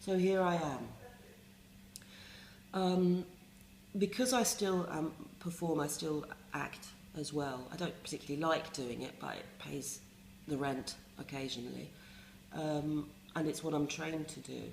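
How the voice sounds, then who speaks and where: A middle-aged English woman, a resident playwright, talking reflectively in a wry, self-mocking way with many pauses and restarts. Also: low-pitched, creaky, a little mellow, roomy sound, a little hiss or hum, low-quality recording. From England. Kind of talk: reflective interview talk.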